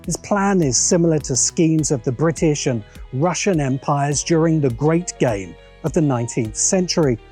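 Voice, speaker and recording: A man hosting a video essay, reading scripted narration in a measured, emphatic way with dramatic pauses. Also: fairly high pitch, expressive, dark tone, soft background music.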